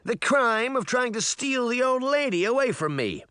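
A cocky, mischievous boy acted out by a man in a storybook reading. His delivery is mock-dramatic and taunting, swooping widely in pitch, and the sound is dry and close. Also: sing-song, normal speed, pushed and bright, clean tone, dry recording, exaggerated voice. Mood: accusing.

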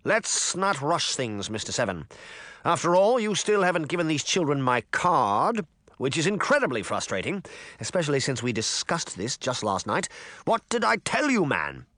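A man's voice: on the high side, big pitch swings, normal speed, pushed and bright, no room sound, pitched above the performer's own voice. A man doing a sneering pompous mayor. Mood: condescending.

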